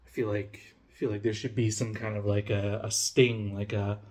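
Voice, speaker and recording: A man's voice: lowish voice, level tone, moderate pace, a little mellow. A man casually reviewing a beer on camera, rambling with lots of fillers, restarts and swearing, and poking fun at himself. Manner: rambling, self-mocking, profane, many fillers and restarts. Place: home room, lives in Denmark.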